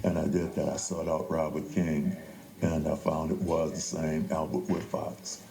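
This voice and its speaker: A man's voice: a bass voice, flat delivery, a little slow, gravelly, mellow, a little hiss or hum. An older man, a playwright telling his story conversationally in an interview. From New Orleans, Louisiana. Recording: echoey room with crowd murmur.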